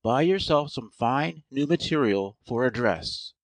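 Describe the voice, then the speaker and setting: A man's voice: mid-range voice, expressive, measured pace, no room sound. A man playing a wry, generous artist in a dramatized storybook reading, speaking emphatically.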